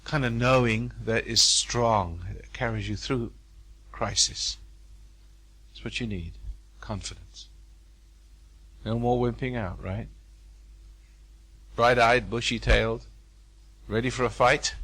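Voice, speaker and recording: A man's voice: mid-range voice, slow pace, pauses often, a bit bright, low-quality recording. An older man speaking to a live seminar audience in a hotel room, folksy and preacherly, repeating phrases for emphasis.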